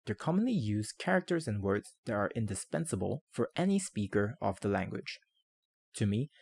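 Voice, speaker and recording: A man who speaks Chinese and English natively, narrating a scripted educational video in a measured, articulate, formal way. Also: fairly low pitch, gravelly, a little mellow, no echo. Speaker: language-learning video creator.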